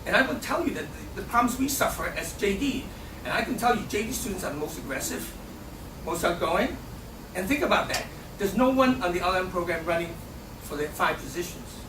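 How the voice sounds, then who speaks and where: Guy campaigning in a debate, high voice, keeps saying okay?, echoey room, mic far off, noisy. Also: lively intonation, fairly quick. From Hong Kong. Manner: punctuates nearly every phrase with a questioning "okay?". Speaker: student election candidate.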